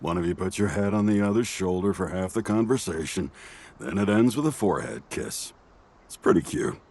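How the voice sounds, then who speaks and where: Deep-voiced, easygoing wry buddy played by an actor. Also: male voice, lively intonation, fairly quick, a little mellow, husky, heavily acted. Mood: teasing, playful. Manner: casual, playful, wry. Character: easygoing, teasing male family friend with a kind streak. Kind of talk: conversational audio drama dialogue.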